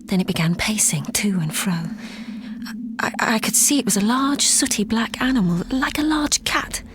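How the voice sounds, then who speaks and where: A well-bred, impressionable young lady of gothic radio drama, played by a woman. Her voice is high and swoops expressively, with polite, earnest delivery. A faint noise sits behind her. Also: expressive, moderate pace, natural voice. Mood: hushed dread. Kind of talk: gothic audio drama acting and narration.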